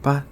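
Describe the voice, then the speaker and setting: A woman voicing a gentle, affectionate giant alien scientist in a soft-spoken, hesitant ASMR roleplay. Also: slight background noise. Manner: tender, hesitant, murmuring hums between phrases.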